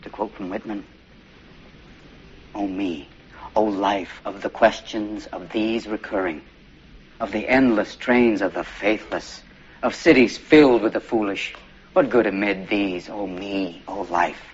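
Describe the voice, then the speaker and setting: An actor playing a male teacher, reciting poetry to his students slowly and earnestly. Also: fairly low pitch, steady tone, breathy edge. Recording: dull lo-fi film clip.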